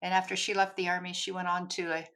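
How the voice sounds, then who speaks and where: An older woman speaks as a podcast guest, recounting her years in army counterintelligence with lively storytelling and easy laughter. Her voice sits somewhat low for a woman. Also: fast. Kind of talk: telling her career story in an interview.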